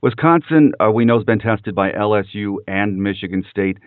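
A male sports podcast host with a deep voice asks questions and gives commentary. He speaks in a measured way with pauses, and the sound is narrow and phone-like. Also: expressive, no room sound.

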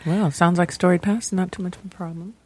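A woman's voice: fairly low pitch, steady tone, a little fast, slightly rough. A woman talking casually as a panelist on a chat show.

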